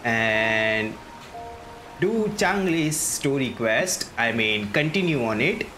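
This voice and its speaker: A man doing casual playthrough commentary as a gaming streamer, halting as he thinks aloud. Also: mid-range voice, lively intonation, bright, forceful tone. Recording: game music behind voice. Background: video game music.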